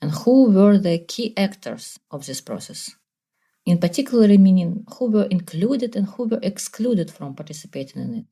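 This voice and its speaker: A woman hosting a podcast, introducing guests and asking measured, formal questions. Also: mid-range voice, big pitch swings, warm tone, husky. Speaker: podcast host. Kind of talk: podcast interviewing.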